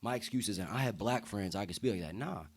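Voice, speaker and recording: A deep-voiced young man from the United States speaking earnestly and emphatically in casual, slang-heavy conversation as a podcast guest. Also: a little fast.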